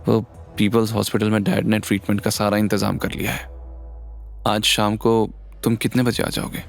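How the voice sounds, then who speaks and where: A man's voice: lowish voice, level tone, normal speed, gravelly. A haughty young rich man played by a male narrator in an audio drama. He speaks with stiff pride, with music behind him. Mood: restrained. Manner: haughty, then more restrained. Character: arrogant young rich man swallowing his ego.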